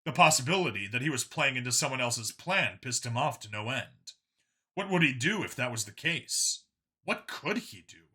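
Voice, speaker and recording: A man narrates a story in a dramatic, scripted style. His voice is very sharp and forceful, sitting a little high for a man. The pitch moves in lively sweeps as he reads. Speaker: narrator, audiobook reader.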